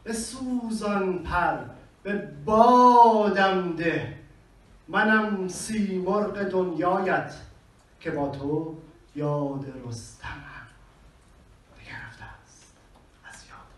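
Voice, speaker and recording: A man with a Persian accent reading poetry slowly on a small stage, with measured, dramatic pauses. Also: high-pitched, fairly bright tone. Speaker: poet. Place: small venue with a live audience. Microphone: handheld mic on a stand, recorded from far in the room. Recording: echoey room from far off.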